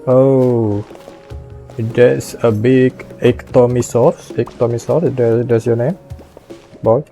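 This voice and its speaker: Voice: mid-range voice, steady tone, slow, dark tone. A game streamer reacting casually in brief, laid-back remarks while playing. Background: faint game music.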